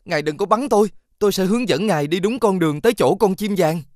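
Animated, high-pitched wise fox. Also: male voice, quick pace. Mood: earnest advising. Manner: earnest, insistent, advising. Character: wise friendly male talking fox, urgent guide. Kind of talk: animated fairy-tale dubbing.

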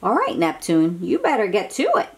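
A woman hosting a picture-book read-aloud for young children, exclaiming and asking coaxing questions in an animated manner. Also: on the low side, moderate pace, slightly rough.

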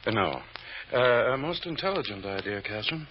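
Man acting polite formal gent, bit hesitant, lowish voice, muffled old radio serial. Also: lively intonation, slight background noise, natural voice. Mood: approving. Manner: halting, formal. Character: polite, hesitant gentleman offering approval.

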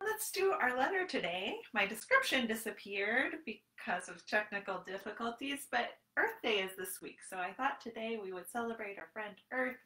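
A woman's voice: mid-range voice, creaky, bright, forceful tone. A woman in her thirties leading a children's storytime in a sing-song, encouraging way, as a librarian talking to small children. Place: small room at home, indoors. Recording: roomy echo on home webcam recording.

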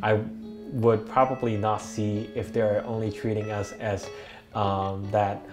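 A man's voice: on the low side, level tone, unhurried, over music. A young man from Hong Kong whose first language is Cantonese, speaking thoughtfully with many fillers in an interview. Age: young adult. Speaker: interviewee, bakery worker and student. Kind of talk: documentary interview. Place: bakery kitchen indoors.

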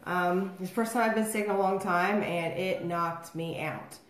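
A woman's voice: on the low side, steady tone, moderate pace. A woman, getting over a cold and a little nasal, chatting rambly on a home livestream while mixing drinks at her table. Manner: rambling and chatty, many fillers. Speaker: host of a home cocktail livestream. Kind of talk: casual livestream chat. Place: dining room at home, indoors. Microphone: phone or webcam across the table. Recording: echoey room.

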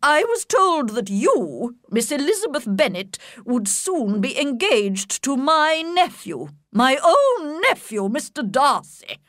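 A woman reading aloud voices a haughty older aristocratic lady who speaks in a slow, emphatic, imperious way. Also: fairly high pitch, very animated, a bit bright, dry recording, studio quality, voice pushed up. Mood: angry.

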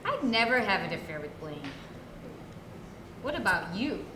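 A woman's voice: mid-range voice, sing-song, a little slow, echoey room, noisy background. A woman acting a melodramatic soap-opera part. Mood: dramatic.

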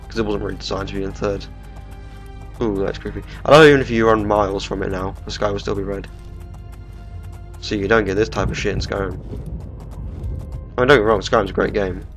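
A man's voice: lowish voice, steady tone, fairly quick, long pauses. A young man with a cold, chatting casually through a let's play of a game, swearing now and then. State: bunged up with a cold. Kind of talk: casual gameplay commentary. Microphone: desktop microphone. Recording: game music playing underneath.

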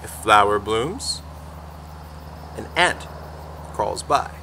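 Slow, animated young man reading aloud. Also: fairly low pitch. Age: young adult. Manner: expressive, deliberate, pausing between phrases. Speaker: teacher reading picture books. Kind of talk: children's story read-aloud. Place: outdoors in a fenced backyard garden. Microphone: camera a few feet away. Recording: faint steady outdoor background noise.

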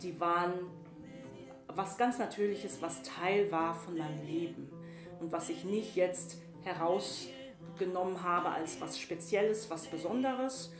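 A woman's voice: fairly low pitch, unhurried. A middle-aged woman from Cameroon answering interview questions reflectively, searching for words and often repeating them. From Yaoundé, Cameroon. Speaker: musician and singer being interviewed. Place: small bright room indoors, seated by a table. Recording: roomy echo, music underneath.

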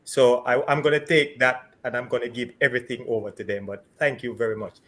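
A man's voice: mid-range voice, steady tone, a bit bright. Cheery guy hosting a live video call chat, laughs a lot, webcam sound. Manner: cheerful, laughs often, quick bursts. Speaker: host. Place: home room with shelves.

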